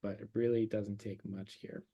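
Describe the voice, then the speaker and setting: Man, 30s, lower register, fraying voice, relaxed instructor talking through his brushwork. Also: moderate pace, slightly rough, highs cut off. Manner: relaxed, thinking aloud while painting. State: losing his voice. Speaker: painting instructor. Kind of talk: live watercolor demonstration.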